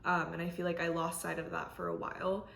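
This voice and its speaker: A young woman whose first language is Korean answers interview questions in a thoughtful, measured way, with frequent fillers. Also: on the low side, little pitch movement, gravelly, fairly bright tone, slight background noise. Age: young adult. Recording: roomy echo.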